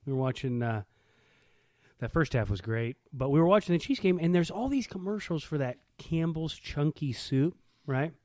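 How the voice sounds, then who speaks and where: A man's voice: a little high, expressive, moderate pace, darkish tone, no room sound, studio quality. A man co-hosting in casual banter, asking questions and teasing in slangy everyday speech. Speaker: podcast co-host.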